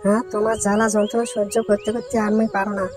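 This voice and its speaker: A woman's voice: mid-range voice, flat delivery, rapid-fire, music in the background, close to an everyday voice. A woman playing a nagging, exasperated village woman in a cartoon, with a northern Bangladeshi accent. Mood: angry complaining. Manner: nagging, complaining. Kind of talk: Bengali village cartoon voice acting.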